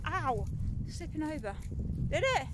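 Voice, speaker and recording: A woman's voice: high-pitched, very animated, a little slow. A woman vlogging casually on a countryside walk, chatting and ranting in an exasperated way, recorded on a handheld phone outdoors. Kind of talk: casual rambling chat while walking. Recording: loud outdoor wind and noise.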